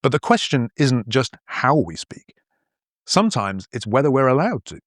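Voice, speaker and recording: A man's voice: medium pitch, moderate pace. A man in his fifties from northern England with a mostly posh English accent that keeps northern traces, narrating an educational podcast in a playful, explanatory way. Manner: playful, explanatory, lively storytelling. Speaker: podcast host and language teacher.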